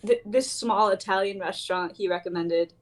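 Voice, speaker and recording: A woman's voice: medium pitch, normal speed, natural voice. A woman playing a playful, teasing young woman in a casual dramatic reading. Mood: shy, amused. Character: playful, teasing young woman named Bellavia sharing a ride.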